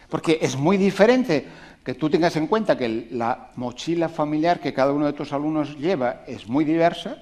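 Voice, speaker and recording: Emphatic man with a higher voice. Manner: animated, emphatic, with rhetorical questions; conversational, with restarts and asides. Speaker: invited speaker on education. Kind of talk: public talk to an audience.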